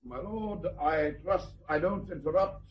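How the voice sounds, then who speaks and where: An actor playing a courtly, discreet lord who speaks in an ornate, polite, formal way, in a radio drama. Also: male voice, on the high side, a little slow, slightly rough, muffled recording. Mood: apologetic, formal.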